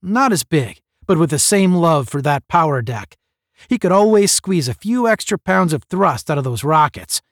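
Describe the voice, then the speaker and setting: A man voicing a seasoned male space officer who speaks forcefully and with pride to young cadets. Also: on the high side, sing-song, normal speed, dry recording, clean studio sound, voice pushed up. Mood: earnest, proud. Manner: forceful, proud, instructive.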